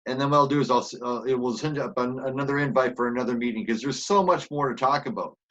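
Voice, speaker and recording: A man's voice: mid-range voice, fast talker, fairly bright tone. A man hosting a podcast casually over an online call. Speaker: podcast host. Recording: dull lo-fi online call audio.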